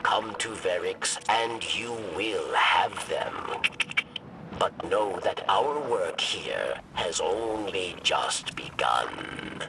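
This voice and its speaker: A sly, ingratiating old alien creature from a video game. The voice is low and gravelly, with very slow, halting delivery that swoops nervously. Loud cinematic music plays behind. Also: male voice, expressive, exaggerated voice, low-quality recording. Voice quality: gravelly, creaky. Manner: halting, speaks of himself in third person. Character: cunning, anxious, ingratiating old alien insectoid exile. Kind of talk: video game character acting.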